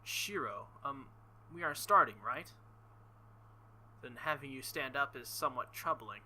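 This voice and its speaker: A man voicing a calm, formal young woman swordsman from a visual novel, with polite, measured phrasing. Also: medium pitch, full character voice, poor audio. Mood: shy.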